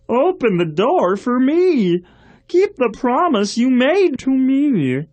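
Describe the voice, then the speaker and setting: Woman voicing a high, pleading little storybook frog. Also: exaggerated voice. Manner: whiny, pleading, demanding. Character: small pleading, insistent talking male frog. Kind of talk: storybook voice acting.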